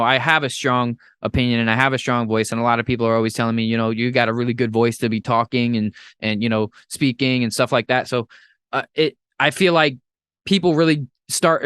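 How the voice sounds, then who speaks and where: A young man hosting a podcast in casual chat, talking in an animated, rambling way with playful jumps into a high voice. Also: clean tone, no echo, studio quality. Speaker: podcast host.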